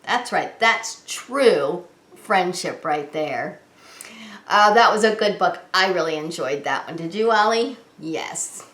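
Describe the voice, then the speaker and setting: A woman preschool teacher leading story time, talking to young children in an animated, sing-song way with questions she answers herself. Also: on the low side, slow pace. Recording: roomy, echoing indoor sound.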